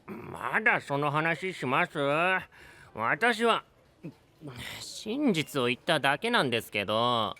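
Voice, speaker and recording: A man's voice: fairly high pitch, very animated, takes it slowly, pushed and bright, full character voice. A man playing a sly, teasing, secretive knight who speaks politely and evasively in an anime voice drama. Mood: smug teasing. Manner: polite, playful, evasive drawl.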